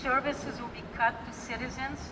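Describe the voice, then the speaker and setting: A woman's voice: high-pitched, fairly even intonation, moderate pace, heavy background noise. A woman giving a prepared speech at a political rally, emphatic and repetitive in her rallying lines. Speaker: rally speaker. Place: outdoor rally at a state house. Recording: distant echoey outdoor PA recording.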